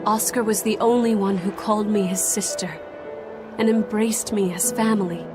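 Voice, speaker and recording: An actress playing a stern young noblewoman exorcist who speaks in a cold, formal, measured way. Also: mid-range voice, steady tone, over music, close to an everyday voice. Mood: somber. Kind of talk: game voice acting.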